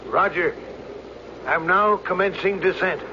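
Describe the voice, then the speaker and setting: A weary, steady doctor pressed into piloting an airliner in a radio drama. His voice sits high for a man. The recording is dull, with clear aircraft noise behind him. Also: measured pace. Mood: sober, reflective. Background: loud aircraft drone.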